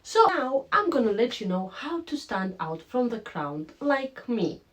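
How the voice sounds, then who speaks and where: A woman's voice: a little high, measured pace. A woman from Romania with a mixed Romanian, Greek and slightly British accent, chatting casually to camera in a vlog at home. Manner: chatty and animated, addresses viewers directly. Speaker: vlogger. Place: home room with a lamp.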